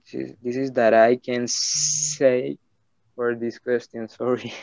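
A man from Colombia, a native Spanish speaker, answering interview questions in halting English with many restarts. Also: medium pitch, little pitch movement, gravelly, darkish tone, dry recording, low-quality recording. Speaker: English student.

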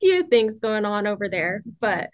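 A young woman conducting an interview for a class, asking polite, careful questions with pauses. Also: mid-range voice, big pitch swings, no echo. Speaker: interviewer, student.

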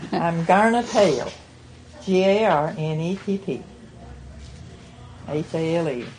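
Woman, eighties, low voice, rural American origin, group interview reminiscence. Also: slow pace, a little mellow, slight background noise. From rural United States. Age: 80s.

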